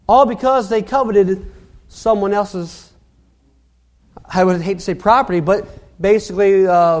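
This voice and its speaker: A man preaching a sermon in a halting, earnest way, often repeating words as he goes. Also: very high voice.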